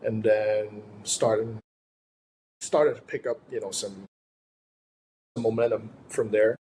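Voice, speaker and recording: A man's voice: lowish voice, darkish tone, slight background noise, rough recording. A man from Thailand, a sports coach chatting casually as an interview guest. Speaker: golf coach, podcast guest.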